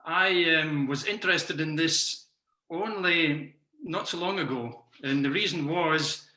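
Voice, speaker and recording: A man's voice: on the high side, takes it slowly, bright, forceful tone. A man in his sixties with a Scottish accent, giving an online talk as a teacher with frequent ums and uhs. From Scotland. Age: 60s. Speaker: macrobiotics teacher. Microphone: video call. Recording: roomy echo.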